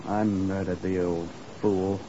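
An actor playing a bitter, sneering young murderer who was dismissed as dim, in a mocking and defiant 1950s radio crime drama style. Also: male voice, sits low, flat delivery, slow, dark tone. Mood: bitter, confessing. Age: 20s. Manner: mocking, defiant, breaking into chuckles. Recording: muffled, noisy 1950s radio recording.

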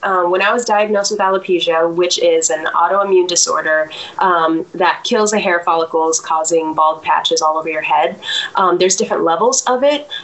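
Low-ish woman singer chatting in an interview, thinks out loud, lots of ums and restarts. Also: low-quality recording. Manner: reflective, many fillers and restarts. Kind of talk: casual interview chat.

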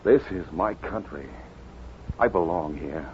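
An actor playing an earnest, grateful young frontier doctor, sincere and a little hesitant, in a 1950s radio western. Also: male voice, low voice, lively intonation, unhurried. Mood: calm, grateful. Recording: tinny, noisy 1950s radio recording.

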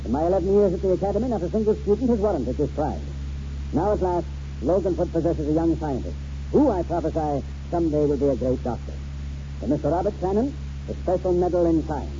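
An actor playing a proud, formal schoolmaster in a declamatory 1940s radio style. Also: male voice, a little high, expressive, quick pace, mellow, close to an everyday voice. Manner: declamatory speech-making. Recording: muffled, noisy 1940s radio broadcast.

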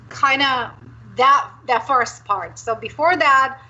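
Woman from romania, career coach guest, candid animated storytelling, bright tone, lo-fi line. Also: high-pitched, takes it slowly. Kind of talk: interview guest telling her story. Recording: dull lo-fi line with faint noise.

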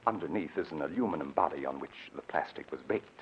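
A man acting a secretive, grave lab assistant in a 1940s American radio drama, speaking with deliberate, dramatic pauses. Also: rumbling low, animated, breathy edge, heavily acted. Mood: ominous, explaining. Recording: muffled old radio recording.

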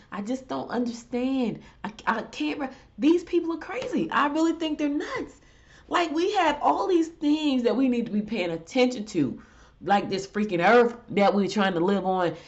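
A middle-aged woman hosting a casual solo talk show, stuffy from a head cold, chatting loosely and ranting in exasperation. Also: a little mellow. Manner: chatty, exasperated rants with rising pitch. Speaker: show host. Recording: dull lo-fi audio.

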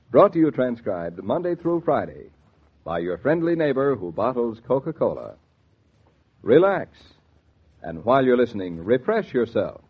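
A man's voice: medium pitch, husky. A man announcing in the style of a 1940s radio sponsor spot. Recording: tinny vintage radio recording.

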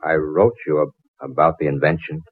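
An actor playing a despairing, lovesick, weary young inventor with halting delivery, in 1940s radio drama style. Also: male voice, very deep voice, steady tone, husky, narrow, tinny audio. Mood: dejected. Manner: halting, heavy-hearted.

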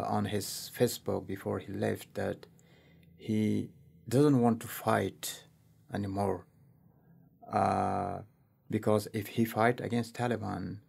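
A man speaks as an interview guest, stopping and restarting often with plenty of fillers. His voice sits fairly low and is heavily gravelly, with a husky edge. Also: a little mellow. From Afghanistan. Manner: hesitant, many fillers and restarts. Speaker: guest, civil rights activist. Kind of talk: casual interview talk.

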